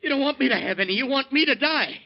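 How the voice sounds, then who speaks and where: High, gravelly, raving desperate drunkard. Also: male voice, expressive, quick pace, exaggerated voice. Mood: raging paranoia. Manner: raving, sneering, menacing. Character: Victor, crazed, thirst-maddened, gun-waving drunkard and jealous man. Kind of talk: 1940s radio acting. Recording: dull, lo-fi 1940s radio recording.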